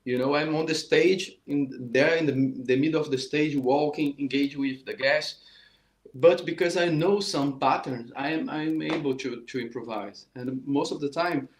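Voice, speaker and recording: A man's voice: on the high side, moderate pace, roomy sound. A middle-aged Brazilian man with a Portuguese accent, teaching a saxophone lesson in earnest, repetitive, restarting English. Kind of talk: informal online music lesson.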